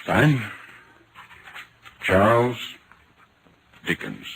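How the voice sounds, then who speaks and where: A low-voiced, solemn male reporter played by a man tells his tragic tale with grave, deliberate drama. He sounds shaken, in the manner of old radio horror, with some noise on the recording. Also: wildly swooping pitch, vocal fry, exaggerated voice. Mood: solemn, mournful.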